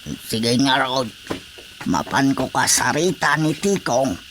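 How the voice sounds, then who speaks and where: An actor playing a stubborn village uncle who prefers the folk healer, in a radio drama. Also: male voice, medium pitch, lively intonation, takes it slowly, fairly bright tone. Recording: radio drama with faint noise.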